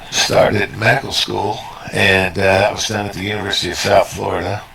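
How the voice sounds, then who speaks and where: An older man with a rough, gruff voice, answering as an interview guest in a halting, self-effacing, conversational way. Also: fairly low pitch, flat delivery, pushed and bright, rough recording. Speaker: interview guest, retired surgeon.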